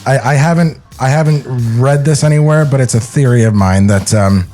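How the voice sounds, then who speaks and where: Casual male podcaster, medium pitch. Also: moderate pace, dark tone. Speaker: podcast co-host. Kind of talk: casual chat, joking interjections.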